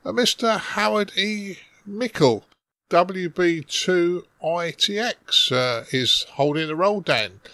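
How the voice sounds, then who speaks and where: Higher-pitched creaky man hosting a roundtable. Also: animated, slow pace, pushed and bright, no room sound. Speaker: podcast roundtable host. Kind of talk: casual, unscripted hosting.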